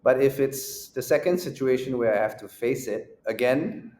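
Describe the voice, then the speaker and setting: A man, a guest in a casual interview who answers in a measured, reflective way and lays out his points in order. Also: mid-range voice, darkish tone. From Malaysia. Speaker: guest, music school founder. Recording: roomy echo with faint background noise.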